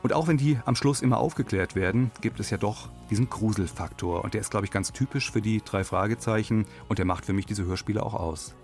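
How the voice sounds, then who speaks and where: A man giving explanatory radio commentary in an analytical, measured manner. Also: fairly low pitch, darkish tone, soft background music.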